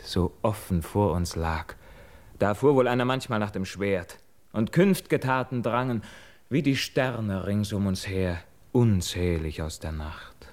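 An actor playing a young man haunted by guilt, declaiming classical verse drama in a solemn, measured way. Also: fairly low pitch, darkish tone, close to an everyday voice.

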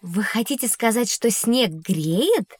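A woman's voice: a little high, slow pace. A woman playing an inquisitive, teasing young schoolgirl in an educational audio play. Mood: curious. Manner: expressive, girlish, questioning.

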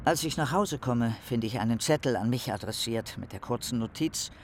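Man playing an animated, eccentric young philosopher. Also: mid-range voice, moderate pace, noisy background, close to an everyday voice. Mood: excited, polite. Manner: theatrical, wry. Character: eccentric, bookish young male philosopher, excitable and self-important. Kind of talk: dramatic reading of letters in radio play.

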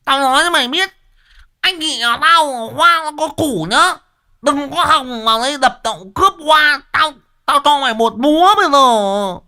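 A man's voice: extremely high pitch, animated, moderate pace, sharp tone, pitched above the performer's own voice, heavily acted. Man doing a shrieking jealous village woman. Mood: furious. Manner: screaming threats. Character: jealous hot-tempered village woman swinging a hammer.

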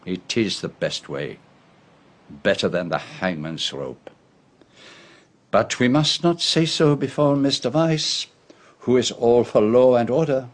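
An actor playing a shrewd, courtly Belgian detective in a radio drama, speaking precisely and formally with a Belgian French accent. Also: male voice, mid-range voice, lively intonation, slow pace, pauses often. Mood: grave.

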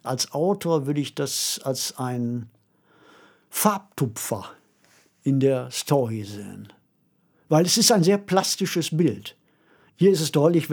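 Male podcast discussant, husky medium-pitched voice, conversational, precise explainer. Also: darkish tone.

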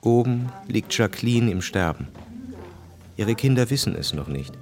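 A man giving sober, measured scripted narration for a radio drama. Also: lowish voice, lively intonation, a crowd in the background.

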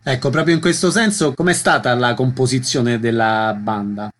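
Concise male radio interviewer, mid-pitched. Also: moderate pace, a little hiss or hum. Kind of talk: prepared interview questions, radio presenting.

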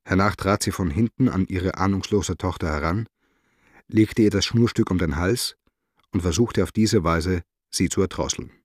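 Low, husky, detached official. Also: male voice, moderate pace, darkish tone, dry recording, studio quality. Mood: detached, factual. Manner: matter-of-fact, bureaucratic, detached. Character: impassive official reading out a police interrogation record. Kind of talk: formal read-out report in a radio drama.

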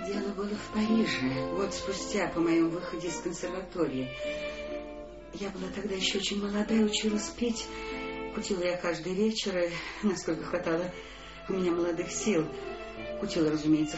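An actress playing a vain, temperamental opera singer in a radio play, theatrical and capricious. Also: female voice, mid-range voice, lightly acted. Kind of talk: Russian radio play acting. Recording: echoey, narrow-band, loud music underneath.

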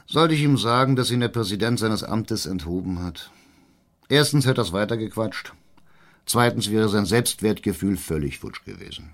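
An actor playing a cunning, domineering mayor in a German radio drama, emphatic and sardonic. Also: male voice, on the low side. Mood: smug, sardonic. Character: blustering, cunning small-town mayor, crude and domineering.

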